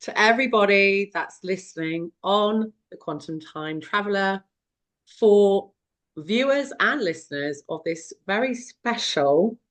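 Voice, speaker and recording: Slow halting woman host. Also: mid-range voice, a bit bright. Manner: halting, searching for words. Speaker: podcast host. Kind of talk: interview hosting.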